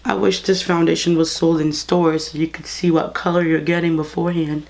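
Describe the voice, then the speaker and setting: Congested young woman vlogger, lowish voice, casual chatty beauty review to camera. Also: flat delivery, a little fast, vocal fry, darkish tone, rough recording. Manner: chatty, talks straight to viewers. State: congested, under the weather. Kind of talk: casual makeup review and demo. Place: bedroom studio with clothing rack.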